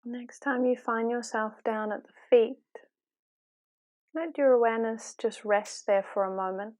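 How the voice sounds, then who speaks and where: A woman leading a guided meditation in a calm, unhurried, gently instructive way, with long pauses. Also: on the high side.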